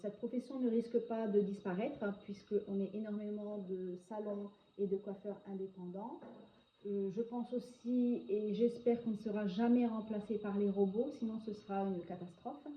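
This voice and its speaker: A woman in her forties from northern France, a hairdresser answering interview questions in a hesitant way, often pausing on filler sounds. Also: mid-range voice, steady tone. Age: 40s. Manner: hesitant, frequent filler pauses. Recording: echoey, dull lo-fi sound with faint noise.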